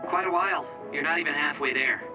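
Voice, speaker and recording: A man's voice: a little high, quick pace, distant mic, over music, lightly acted. An actor playing a helpful, matter-of-fact young male mission operator who explains things informally in an English game dub. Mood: informative.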